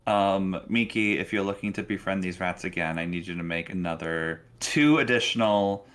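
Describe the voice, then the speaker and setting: A man acting as game master, improvising narration in a casual, halting way. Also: sits low, a bit bright.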